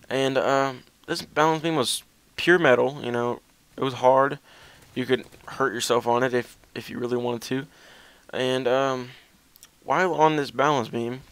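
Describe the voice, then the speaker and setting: A man's voice: mid-range voice, a bit bright, smooth, no echo. A young man telling a casual, rambling story as gaming commentary, with a hesitant, self-deprecating way of talking.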